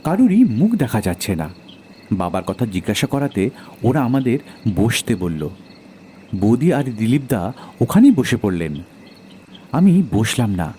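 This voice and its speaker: A man's voice: mid-range voice, dark tone, a little hiss or hum. A man narrating a first-person horror audio story in a dramatic storytelling style.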